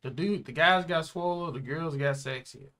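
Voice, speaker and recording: A man's voice: fairly high pitch, moderate pace, bright, forceful tone. A young man chatting casually as a reaction show host, using slang and repeating phrases for emphasis. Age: young adult. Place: small room indoors, seated.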